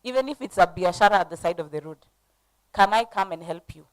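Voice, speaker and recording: A woman on a conference panel, speaking in a slightly low voice. She coaches the audience and throws questions at them as she talks. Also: animated, fast talker, low-quality recording.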